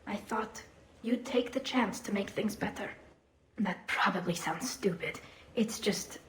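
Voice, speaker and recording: Game role: young alien admiral, helmet-filtered, earnest, unsure of herself, echoing over faint noise. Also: female voice, mid-range voice, measured pace, fairly bright tone. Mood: anxious, vulnerable. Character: young female quarian alien admiral, earnest, self-doubting. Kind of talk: video game dialogue. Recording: roomy, faint ambient noise.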